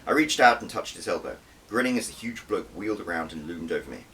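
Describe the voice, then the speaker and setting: A man's voice: sits low, animated, quick pace, a bit bright. A man reading his own first-person audiobook with dramatic, scripted narration. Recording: distant mic with faint background noise.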